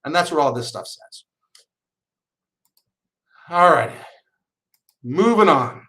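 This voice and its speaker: A man's voice: on the high side, lively intonation, slow, sharp tone. A man in his sixties, a financial advisor, explains slides in a webinar in a chatty way and often restarts his phrases.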